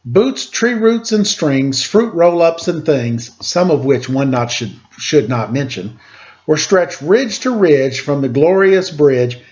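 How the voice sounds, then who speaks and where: A man's voice: fairly high pitch, expressive, slow, darkish tone, dull audio. A man hosting a storytime for children, reading aloud and chatting casually to his young listeners.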